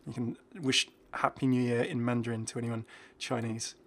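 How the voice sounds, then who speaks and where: A man's voice: mid-range voice, flat delivery, normal speed. A man hosting a gaming video with casual, unscripted commentary in a chatty, slightly hesitant way as he reacts to what he sees.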